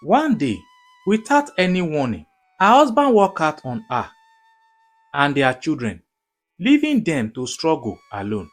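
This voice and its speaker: A woman narrating a scripted folktale in a dramatic, measured way, phrase by phrase. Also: low-pitched, huge pitch swings. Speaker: storyteller, narrator. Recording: music under the voice. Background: background music.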